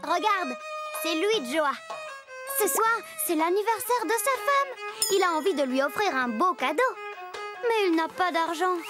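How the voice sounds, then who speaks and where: An excited little cartoon girl tells a story in a very high, lively voice. The delivery is childlike and unhurried, full of exclamations, over loud music. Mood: eager. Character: excited little girl watching and narrating the tale.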